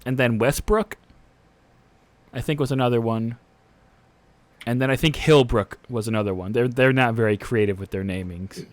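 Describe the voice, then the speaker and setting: A man's voice: medium pitch, moderate pace, pauses often, no room sound, close to an everyday voice. A man doing a chatty female elf barkeep. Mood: friendly. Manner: hesitant, lots of fillers.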